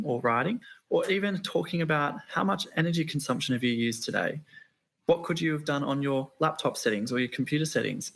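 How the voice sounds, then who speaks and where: A man speaking as a panelist, explaining points in a steady, list-like way. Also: mid-range voice. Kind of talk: panel discussion answers.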